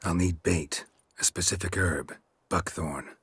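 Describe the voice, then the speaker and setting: An actor playing a gruff middle-aged monster hunter in a fantasy game, speaking tersely and drily with a gravelly voice. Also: male voice, deep voice, unhurried, no echo, full character voice. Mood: terse, businesslike. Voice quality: gravelly, husky. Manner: terse, dry, deadpan. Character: gruff, deadpan monster-hunting witcher, middle-aged man. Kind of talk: video game acting.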